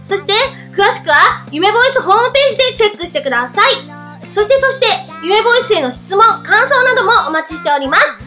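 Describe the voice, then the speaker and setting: Very high, bright bubbly female host. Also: sing-song, fast talker, soft background music. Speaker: radio show host. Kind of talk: cheerful radio talk and announcements.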